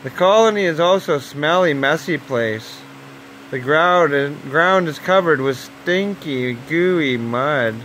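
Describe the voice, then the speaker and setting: Male storyteller, slightly high voice, deliberate children's bedtime narration, faint background noise. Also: animated, a bit bright, low-quality recording. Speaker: storyteller reading a nature story aloud.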